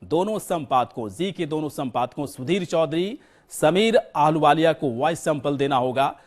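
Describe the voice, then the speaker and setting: A male television news anchor with a very high-pitched voice delivers live breaking news. He speaks emphatically, repeating key words and names as he presses for updates.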